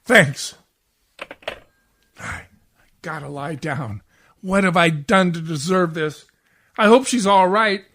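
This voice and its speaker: Man acting slow, whiny radio husband. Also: fairly high pitch, a bit bright, full character voice. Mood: remorseful, self-pitying. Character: sleepy, self-pitying, bourbon-loving grouchy husband. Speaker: community theatre actor. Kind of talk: 1940s radio comedy acting.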